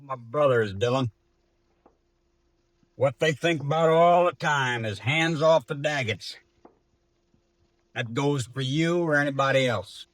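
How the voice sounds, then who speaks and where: Male actor as defiant outlaw prisoner for radio western. Menacing, forceful edge, dry sound. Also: mid-range voice, unhurried, long pauses, dry recording, lightly acted. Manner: surly, menacing. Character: defiant, threatening cowboy outlaw prisoner, surly and cocky. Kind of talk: 1950s radio western acting.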